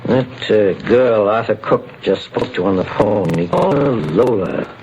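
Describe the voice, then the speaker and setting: An actor playing a measured, authoritative private investigator in a 1940s-style radio drama. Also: male voice, on the low side, a little mellow, lo-fi sound, natural voice. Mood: calm. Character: calm, shrewd male private investigator. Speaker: detective. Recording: hissy old radio broadcast.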